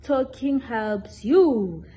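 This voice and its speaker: A young woman narrates a children's puppet show from a script. Her voice is high and expressive, and she takes her time over the words, with slight noise behind her. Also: very slow. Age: young adult.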